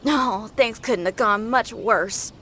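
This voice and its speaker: An actress playing an upbeat, tenacious young rock musician in a game cutscene, earnest and folksy. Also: female voice, fairly high pitch, slow, very noisy. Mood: dismayed. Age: young adult. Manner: folksy, plain-spoken, earnest. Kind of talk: animated game cutscene voice acting.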